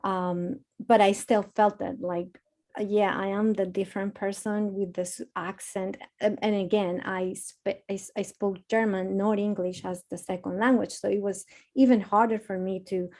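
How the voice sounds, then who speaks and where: Mellow-voiced woman with a Spanish accent. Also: mid-range voice, fairly even intonation. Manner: earnest, halting, many restarts. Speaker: panelist, architecture author. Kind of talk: candid personal story on a panel.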